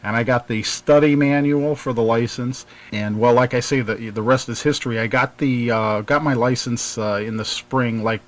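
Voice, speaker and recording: A middle-aged American man hosting a radio show, reminiscing casually in a halting, rambling way. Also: mid-range voice, steady tone.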